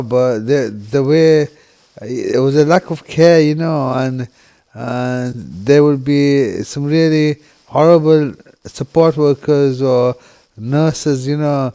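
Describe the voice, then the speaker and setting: A man from the UK talks quietly to his viewers in a soft, mellow, rather dark voice. He speaks haltingly, with frequent restarts and "you know" fillers, sounding subdued and heavy-hearted. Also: mid-range voice. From United Kingdom. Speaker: vlogger. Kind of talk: emotional personal monologue.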